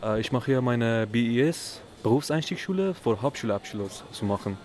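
A young man from Iran, a vocational pupil answering a reporter in short, halting phrases in a school kitchen. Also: mid-range voice, expressive, darkish tone. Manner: halting, simple phrasing with many pauses. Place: school kitchen at a job fair.

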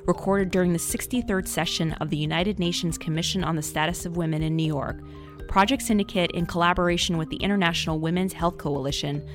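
A woman with a fairly low voice delivers scripted documentary narration. She sounds like a podcast narrator, and faint music plays behind her.

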